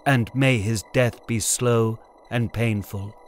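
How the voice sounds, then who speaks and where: Man playing a grim freed captive, slow quiet delivery, bitter, sci-fi audiobook. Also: medium pitch, fairly even intonation, a little hiss or hum, natural voice. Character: grave, bitter freed male captive leader.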